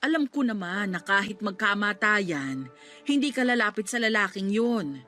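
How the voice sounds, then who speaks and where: A woman's voice: mid-range voice, lively intonation, moderate pace, bright, forceful tone, faint music behind. A woman playing a pleading, practical and bossy loyal friend in a radio drama. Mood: pleading, worried. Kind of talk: Tagalog radio drama acting.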